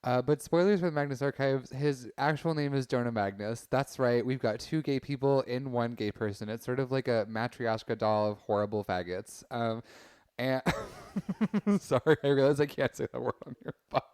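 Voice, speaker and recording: A man's voice: medium pitch, moderate pace, darkish tone, smooth, no echo, studio quality. A man chatting casually as a podcast panelist, with a slangy, campy way of talking. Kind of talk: casual chat.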